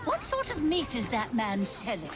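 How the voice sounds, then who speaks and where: An actress playing an imperious, curious and eccentric upper-class English Victorian politician's wife in a video game, with haughty, theatrical, airy diction. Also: female voice, fairly high pitch, huge pitch swings, moderate pace. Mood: curious, amused. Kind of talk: video game dramatic acting. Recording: music behind the voice.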